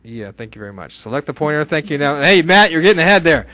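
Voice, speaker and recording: Chatty man teaching software. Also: fairly high pitch, big pitch swings, fast, dry recording. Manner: chatty, asking and answering his own questions. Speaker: software instructor. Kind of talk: live software tutorial to a class.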